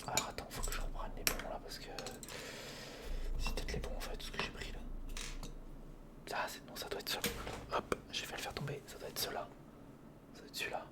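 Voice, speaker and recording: A man whispering a casual, improvised ASMR build-along, muttering hesitantly to himself and chatting to the viewer in a breathy, mostly voiceless voice. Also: a little fast, pauses often. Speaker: ASMR video host.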